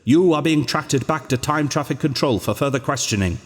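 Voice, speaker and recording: A man's voice: fairly high pitch, normal speed, in a large hall, pitched above the performer's own voice, close to an everyday voice. A man voicing a stern official announcer of time control who issues formal, commanding warnings.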